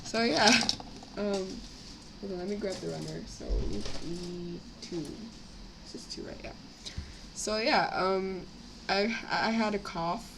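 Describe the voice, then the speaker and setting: Slightly raspy young woman, noisy background. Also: medium pitch, unhurried. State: sick with a cough, itchy throat. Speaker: live streamer building model kits. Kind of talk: casual live stream chat. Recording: clear background noise, home livestream.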